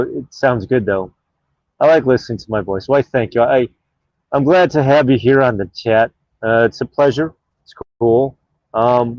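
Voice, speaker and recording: A man hosts his own live stream and chats casually, reading viewer comments aloud and wandering between topics with many fillers. His voice sits at a middling pitch. The audio is dull and lo-fi, with no room echo. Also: darkish tone. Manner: rambling and offhand, with many fillers.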